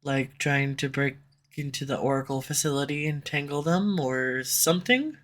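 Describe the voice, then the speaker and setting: A woman's voice: rumbling low, moderate pace. A woman voicing a sarcastic snarky villain. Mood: teasing. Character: snarky sharp-tongued villain, slightly tsundere. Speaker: audio roleplay voice actor. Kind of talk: scripted audio roleplay. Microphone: USB condenser mic.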